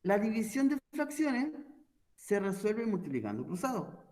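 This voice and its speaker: This is a man with a noticeably high voice, teaching a math class for a recorded online lesson. He explains step by step in a steady, patient way and keeps pausing to check that his students are following along.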